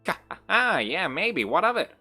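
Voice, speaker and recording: A man's voice: a little high, sing-song, brisk, bright, forceful tone, soft background music, voice pushed up. A man voicing a vulgar, bloodthirsty shade spirit with a pushy, taunting manner and a Brooklyn accent, as in a storybook. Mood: gloating. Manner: pushy, cackling, wheedling.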